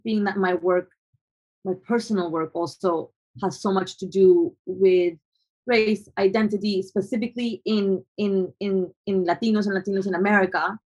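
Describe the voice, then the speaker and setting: Woman, young adult, from Colombia, photographer, hesitant, restarts often, mid-pitched. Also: fairly even intonation, moderate pace, a little mellow, husky, low-quality recording. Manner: thoughtful, hesitant, many restarts and fillers. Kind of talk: conversational interview answers.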